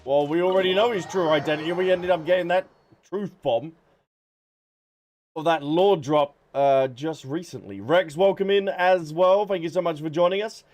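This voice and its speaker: A man's voice: a little high, normal speed, a little mellow. A man doing casual live game commentary as a streamer, playful and chatty, reacting aloud. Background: game music.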